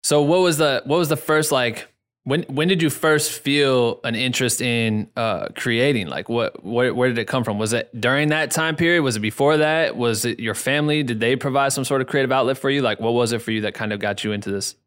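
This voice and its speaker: A man from Iowa, hosting a casual interview, energetic and slangy in his questions. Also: mid-range voice, brisk, clean tone.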